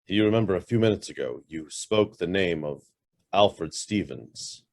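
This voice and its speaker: A man's voice: deep voice, lively intonation, normal speed. A man playing a guarded, brusque middle-aged head of an investment firm with a guilty past in a radio drama. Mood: uneasy, confessing. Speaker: actor.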